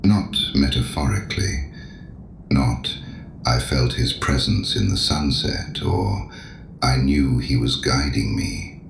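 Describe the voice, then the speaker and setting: Echoey noisy room, sinister courteous stranger, extremely deep, mocking, deliberate, radio drama. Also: male voice, full character voice. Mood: challenging. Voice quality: very deep, gravelly. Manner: deliberate, probing, sardonic, urbane. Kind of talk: audio drama acting. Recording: echoey room with audible background noise.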